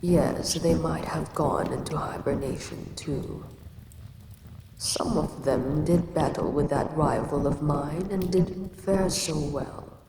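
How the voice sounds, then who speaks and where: Cast: a woman as a calm, imposing kaiju queen, lordly and unhurried, lowish voice with long hall reverb. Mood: probing. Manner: slow, measured, lordly speech. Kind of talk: audio roleplay. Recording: echoey reverb with ambient noise.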